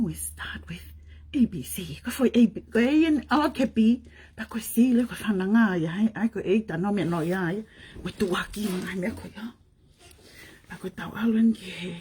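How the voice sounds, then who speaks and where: A Tongan woman with a Tongan accent hosts a casual live stream, talking to her viewers and tagging her points with little questions. Her voice sits a bit low and is very breathy, drifting often into a hushed half-whisper. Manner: switches between languages, chatty, asks listeners tag questions.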